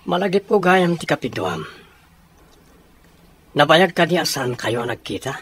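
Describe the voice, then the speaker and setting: An actor playing a soldier chatting easily with a comrade in a radio drama, with an Ilocano accent. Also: male voice, fairly high pitch, very animated, measured pace, a bit bright, breathy edge, heavily acted. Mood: reflective.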